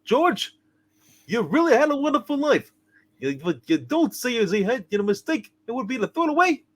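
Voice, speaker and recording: Radio play guardian angel: earnest, fussy, extremely high-pitched, very animated. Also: male voice, fairly quick, heavily acted. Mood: solemn, admonishing. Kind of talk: hammed-up live radio play reading.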